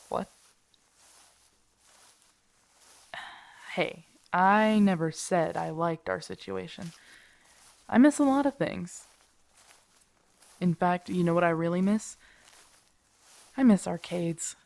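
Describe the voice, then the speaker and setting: A woman's voice: fairly low pitch, slow, creaky, pauses often, darkish tone, no echo. A woman acting in an audio roleplay as a grumpy, protective zombie apocalypse survivor, blunt and sardonic, talking intimately to her companion. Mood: wistful, animated.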